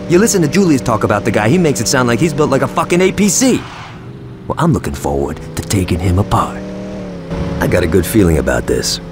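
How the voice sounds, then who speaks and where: A man's voice: mid-range voice, very animated, a little fast, over music. A man playing a cocky, foul-mouthed young gangster in a video game, with a sarcastic, swaggering delivery. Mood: eager menace. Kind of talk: video game cutscene acting.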